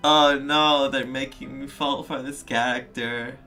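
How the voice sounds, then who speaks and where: A game streamer giving casual live reactions, expressive and amused, chatting to viewers. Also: medium pitch, unhurried. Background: game music.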